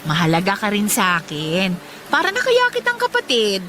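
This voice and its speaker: A woman's voice: mid-range voice, huge pitch swings, normal speed, background noise. An actress playing a caring, pushy and playful mother who is someone's best friend, in a Tagalog radio drama. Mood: affectionate.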